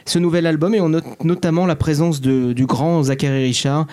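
A man's voice: a little high, brisk, a little mellow. A man hosting a music show, introducing a record and then questioning a guest with many hesitations and fillers. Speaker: radio host. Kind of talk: presenting music between songs.

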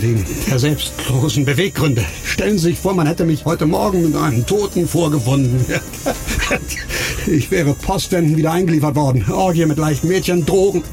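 Gravelly, intense disgraced doctor. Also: male voice, fairly high pitch. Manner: probing, blunt, mixing wry irony with exasperation. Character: disgraced doctor just out of prison, sardonic, intense man. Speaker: actor in a radio play. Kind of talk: radio crime drama acting.

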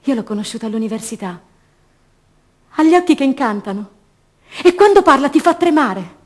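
An actress playing a young, idealistic student activist held prisoner, delivering an intense, passionate theatrical monologue for radio drama. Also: female voice, sits high, animated, brisk. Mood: enamoured. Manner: intense, swinging from hushed repetition to fervent declaiming.

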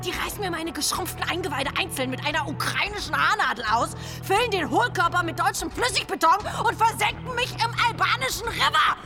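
A woman's voice: very high-pitched, lively intonation, fairly quick, hardly any pauses, music in the background. An actress playing a frantic, sarcastic young woman in danger in a radio drama, slangy and exclamatory.

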